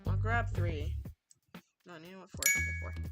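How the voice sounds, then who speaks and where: Very animated woman streamer, unhurried. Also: medium pitch. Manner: expressive, gushing, repeats phrases for emphasis. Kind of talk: casual livestream chat while playing a visual novel. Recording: music clearly behind the voice.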